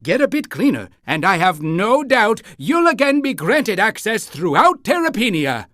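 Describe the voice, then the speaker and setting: A man's voice: high voice, sing-song, moderate pace, pushed and bright, no echo, studio quality, full character voice. A man voicing an eager, polite and fussy town-guide creature in a cartoon game, with theatrical announcing and awkward hesitations. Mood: apologetic.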